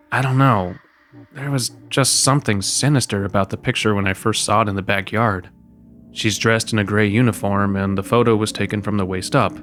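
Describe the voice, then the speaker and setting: A man reads a first-person creepypasta as a scripted narration, with faint music running underneath. His voice sits at an ordinary male pitch and tells the story as the narrator's own account. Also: fairly bright tone.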